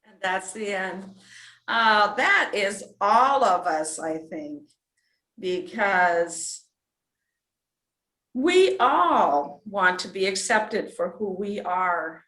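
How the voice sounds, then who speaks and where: A woman in an echoey room hosts a children's storytime. She talks at a slow pace in a medium pitch, with an encouraging, effusive manner. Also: fairly bright tone. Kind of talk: host interview and sign-off to children.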